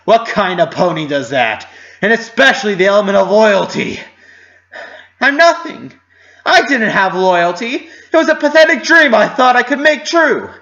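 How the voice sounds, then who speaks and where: A man's voice: high voice, animated, moderate pace, bright, forceful tone, dull audio, voice pushed up, heavily acted. A man voicing an anguished, self-loathing young female pony in an impassioned, melodramatic story reading.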